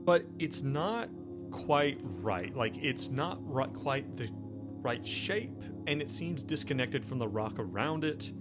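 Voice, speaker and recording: A man running a tabletop game, improvising the narration haltingly and repeating himself for suspense. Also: mid-range voice, lively intonation, music in the background. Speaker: game master.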